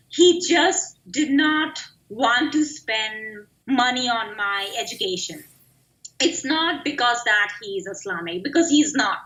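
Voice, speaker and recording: A young woman from Bangladesh, a guest in an interview, talking haltingly about her life with many "I mean" fillers. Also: high voice, bright, forceful tone, echoey room, dull audio. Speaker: guest, activist. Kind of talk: personal interview.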